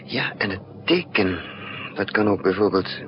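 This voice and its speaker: A man's voice: fairly low pitch, expressive, unhurried. An actor playing a resourceful male spy in a 1960s Dutch radio drama, thinking aloud in hesitant, broken phrases. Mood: intent, puzzling. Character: resourceful male spy narrating his own risky mission. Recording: muffled, noisy 1960s radio recording.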